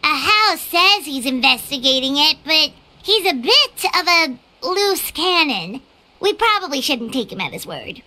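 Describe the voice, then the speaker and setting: A woman's voice: unhurried, exaggerated voice. A woman voicing a small, bubbly female fairy companion in video game dialogue. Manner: bubbly, high and lively.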